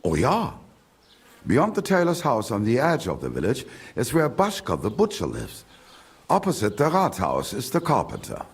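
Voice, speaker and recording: An actor playing a grumbling, condescending village merchant in a video game, with a German accent and German phrases slipped in. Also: male voice, mid-range voice, wildly swooping pitch, moderate pace, breathy edge, heavily acted. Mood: gossipy. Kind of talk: video game character dialogue.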